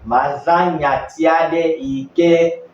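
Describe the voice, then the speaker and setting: A man interpreting a sermon phrase by phrase, echoing each line quickly. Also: fairly high pitch, steady tone, slight background noise. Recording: distant voice in a very echoey hall, muffled.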